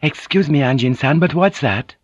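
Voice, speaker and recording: A courteous Japanese noblewoman from an audiobook, played by a man, hesitant and easily flustered. The voice is lifted high and swoops gently, on a dry, dull-sounding cassette recording. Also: expressive, moderate pace, no echo. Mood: polite, inquiring. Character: polite Japanese noblewoman, soft, flustered, squeamish.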